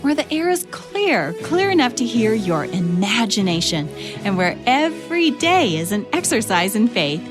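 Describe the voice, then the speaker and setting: Animated woman over music. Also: on the high side, normal speed. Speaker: podcast creator and program host.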